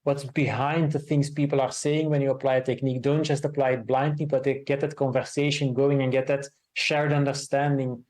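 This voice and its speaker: A man co-authoring a book, answering interview questions in a thoughtful, measured way with pauses. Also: medium pitch, little pitch movement, darkish tone. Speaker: co-author guest. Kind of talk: casual podcast interview.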